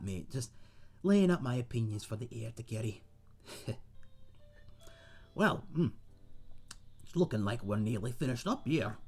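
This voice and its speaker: A man's voice: medium pitch, husky, full character voice. A man playing a friendly, rambling tavern owner and seasoned adventurer, telling tales to one listener in a folksy, chatty way in a fantasy audio roleplay. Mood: sheepish, chatty. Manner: chatty, folksy, dramatic pauses, calls listener mate.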